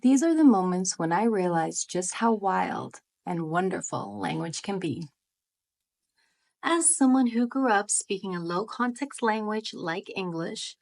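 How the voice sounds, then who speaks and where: A woman's voice: mid-range voice, a little mellow. A woman talking to her viewers in a friendly, explanatory way and often asking rhetorical questions. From United States. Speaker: vlogger. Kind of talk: scripted talk to camera.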